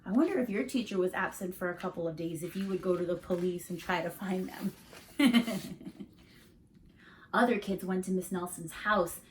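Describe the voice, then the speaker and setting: A woman reading a storybook aloud to children like a teacher, expressive and emphatic, pausing to put questions to listeners. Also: lowish voice, a little hiss or hum, poor audio.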